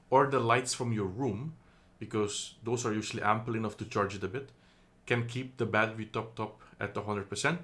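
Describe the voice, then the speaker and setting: A man with a somewhat low voice talks through a product review in an easy, unscripted way. He is from the Netherlands and fills pauses with ums, often correcting himself mid-sentence. The sound is a phone recording in an indoor room. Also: bright, forceful tone. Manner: chatty, hesitant, many ums and self-corrections. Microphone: phone camera.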